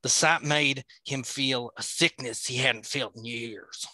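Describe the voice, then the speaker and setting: Bright-voiced man reading a story aloud. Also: mid-range voice, fairly even intonation, measured pace, dry recording, rough recording. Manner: playful, laughs at his own slips. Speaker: podcast host reading a novel aloud. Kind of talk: dramatic reading with joking asides.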